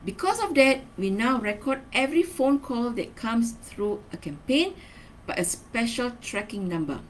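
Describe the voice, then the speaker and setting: A woman's voice: a little high, expressive, moderate pace, slight background noise, low-quality recording. A woman giving a semi-scripted training lesson as a marketing trainer, instructional, stressing key words and checking in with short tag questions.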